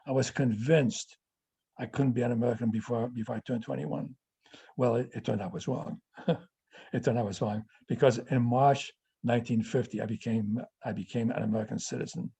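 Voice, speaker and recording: An elderly man in his eighties, originally from France and a native French speaker, answers audience questions with personal memories. His voice is warm and husky. He talks haltingly, stopping often to restart and fill with "yeah". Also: medium pitch, steady tone. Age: 80s.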